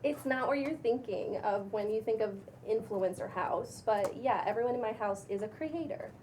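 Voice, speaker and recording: A breezy, self-assured young female influencer, acted by a woman at a table read in a fairly high voice. She talks casually with a preachy streak, over faint background noise. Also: normal speed, a little mellow, close to an everyday voice, poor audio. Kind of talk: cold table read of a pilot script.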